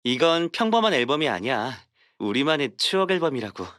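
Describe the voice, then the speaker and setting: A sweet devoted young boyfriend from a romance game, talks super fast, tender n intimate, really dry audio. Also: male voice, a little high, sing-song, fairly bright tone, no room sound. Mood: affectionate, playful. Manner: affectionate, intimate. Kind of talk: game character voice acting.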